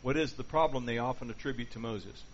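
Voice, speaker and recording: A man's voice: medium pitch, fast talker. A man leading a Bible study class, asking the group questions and going over their answers. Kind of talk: interactive Bible class teaching. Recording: dull lo-fi with faint hiss.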